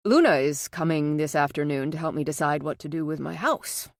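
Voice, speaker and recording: A woman narrator voices an earnest, self-conscious man in an audiobook. He is plain-spoken and restrained, a little awkward, pitched low in a dry, close recording. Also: a little fast, no room sound. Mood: polite, plain.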